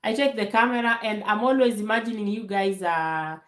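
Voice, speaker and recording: A woman from Kenya hosting a casual live Q&A, calm and unhurried, laughing often, still getting over a bad cold. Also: mid-range voice, echoey room. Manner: calm, slow, laughs often, many fillers. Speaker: livestream host. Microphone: phone held to camera.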